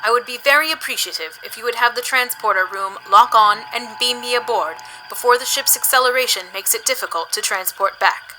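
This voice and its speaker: Woman as a high-voiced, deadpan Vulcan officer. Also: brisk, hardly any pauses, faint music behind, poor audio. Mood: formal. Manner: formal, precise, deadpan. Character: logical, composed female Vulcan starship first officer. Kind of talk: audio drama acting.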